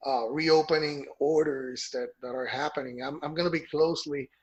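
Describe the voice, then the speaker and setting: Husky man walking through a chart. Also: a little high, steady tone, moderate pace, highs cut off. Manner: explains a chart step by step, with restarts. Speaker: co-host of a golf news show. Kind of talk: casual chat.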